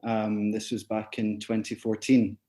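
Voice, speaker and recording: A man's voice: on the low side, monotone, measured pace, dark tone. A man in his thirties with a Glaswegian Scottish accent, answering interview questions casually and hesitantly. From Glasgow, Scotland. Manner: hesitant, many ums. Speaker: podcast guest.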